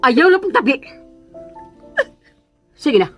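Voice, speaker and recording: Harsh haughty mistress, Filipino accent, animated swooping pitch, commanding, radio drama, faint music underneath. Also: female voice, takes it slowly, exaggerated voice. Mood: furious, commanding. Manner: scolding, commanding. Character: harsh, haughty woman bossing and banishing the housemaid.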